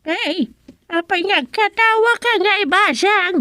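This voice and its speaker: A woman's voice: very high-pitched, unhurried, bright, forceful tone, no echo, full character voice. A woman playing a fussy elderly village grandmother in an Ilocano radio comedy drama. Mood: fussy, secretive.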